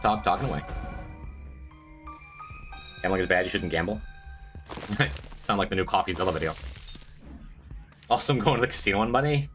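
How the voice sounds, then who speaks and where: A man streaming a video game, rambling to his chat in a self-mocking way with a stuffed-up, congested voice. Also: bright, forceful tone. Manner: rambling, self-mocking, breaks into high squeaky jokes. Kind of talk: casual gameplay commentary to chat.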